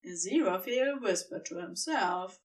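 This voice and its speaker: A woman's voice: mid-range voice, expressive, normal speed, distant mic. A woman reading scripted fiction as a storybook narrator.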